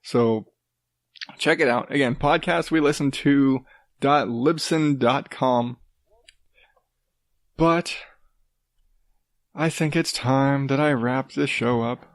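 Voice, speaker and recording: A man hosting his own podcast, rambling casually with restarts and the odd swear, in a rather deep voice while coming down with a cold. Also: slow, pauses often, no echo, studio quality. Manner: rambling, loose, with swearing and self-interrupting restarts. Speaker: podcast host. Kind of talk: casual solo podcast talk.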